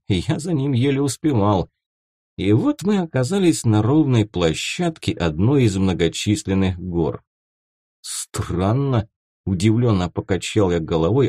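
A male audiobook narrator reads fiction expressively in a fairly low, mellow voice. His intonation moves widely from phrase to phrase, and the recording is close and dry. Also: no echo.

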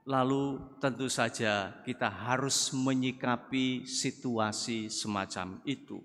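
An older man, a priest, giving a slow, conversational sermon at a pulpit microphone, with sudden emphatic rises. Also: mid-range voice, fairly bright tone. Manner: measured, conversational preaching with rising emphatic bursts. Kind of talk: sermon at a thanksgiving Mass. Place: large church, at a pulpit. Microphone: gooseneck pulpit mic. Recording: long church reverb.

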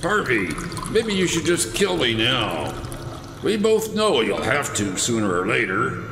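A man's voice: medium pitch, huge pitch swings, measured pace, creaky, background noise, exaggerated voice. A man playing a weary, principled older police commissioner, grave and earnest, in an audio drama. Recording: echoey reverberant space, music behind.

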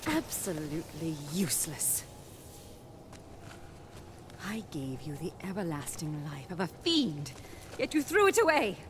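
A woman's voice: fairly low pitch, sing-song, a little slow, long pauses, noisy background. A woman voicing a haughty, cruel female fiend in a video game cutscene, theatrical and full of contempt. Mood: scornful, mocking. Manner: scornful, theatrical.

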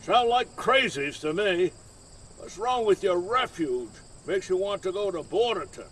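An actor playing a wary, folksy old lighthouse keeper in an audio drama, gruff and drawling. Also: male voice, high voice, animated, a little slow, a bit bright, slight background noise. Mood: suspicious, gruff. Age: older.

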